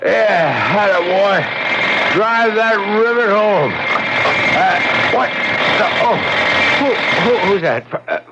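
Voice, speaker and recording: A man playing a bitter, newly blind husband in an old radio drama, halting and irritable in manner. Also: high-pitched, animated, full character voice. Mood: irritable, groggy. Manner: halting, irritable, self-pitying. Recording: muffled, noisy vintage radio recording.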